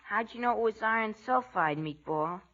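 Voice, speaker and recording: An actor playing a blunt, chummy schoolboy pal, a slow student with slangy kid talk, in a 1940s radio comedy. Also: medium pitch, fairly bright tone, lightly acted. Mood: chummy, probing. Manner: plainspoken, slangy kid delivery. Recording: muffled vintage 1940s radio recording.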